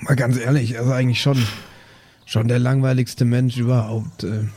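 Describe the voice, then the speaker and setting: A man as mellow, blunt beer-drinking bandmate. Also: medium pitch, little pitch movement, moderate pace, lightly acted. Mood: casual, sceptical.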